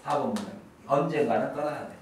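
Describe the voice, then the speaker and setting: A middle-aged male English teacher giving an exam-prep lecture to high school students, emphatic and lively, dropping to low asides. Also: fast, slight background noise. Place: academy classroom. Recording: echoey classroom from a distance.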